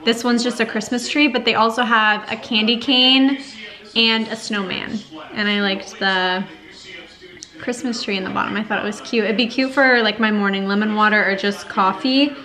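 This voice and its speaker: A woman's voice: fairly high pitch, fairly even intonation, moderate pace, sharp tone, low-quality recording. A woman in her twenties, chatting casually to the camera as a lifestyle vlogger, upbeat and full of "like" fillers. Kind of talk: casual vlog chat to camera. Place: home kitchen, then parked car.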